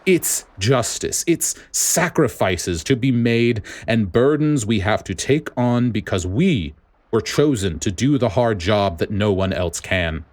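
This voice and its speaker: A man performs a stern male officer from an audio story, full of righteous fury. He snarls with heavy, contemptuous emphasis, his pitch moving forcefully up and down. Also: animated, a little slow. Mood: furious. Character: stern, furious male military officer obsessed with justice.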